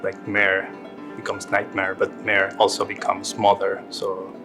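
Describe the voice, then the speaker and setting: A man's voice: low voice, monotone, a little slow. A man from Ecuador, an artist speaking reflectively in a documentary interview with frequent restarts. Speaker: visual artist. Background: guitar music.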